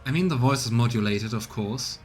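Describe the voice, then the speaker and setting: Male let's play narrator, medium pitch, measured reading of game text, casual commentary, light background music. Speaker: game streamer.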